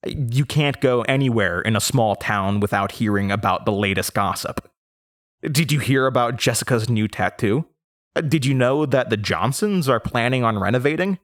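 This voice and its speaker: A man's voice: mid-range voice, animated, moderate pace, no room sound, clean studio sound, natural voice. Man playing young Midwestern storyteller, hesitant, rambling, conversational, uneasy, audio drama testimony. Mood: reflective. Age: young adult. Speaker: audio drama lead character.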